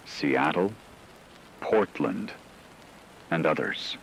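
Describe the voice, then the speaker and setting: Male actor as radio drama news anchor: very low pitch, measured and factual, grave, faintly hissy broadcast. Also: heavily acted, poor audio. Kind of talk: scripted emergency news report.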